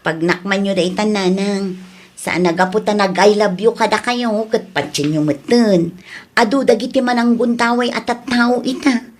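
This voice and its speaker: A woman's voice: medium pitch, fairly even intonation, moderate pace, natural voice. A woman playing a bossy but protective grown daughter in an audio drama, scolding with exasperation. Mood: firm, admonishing.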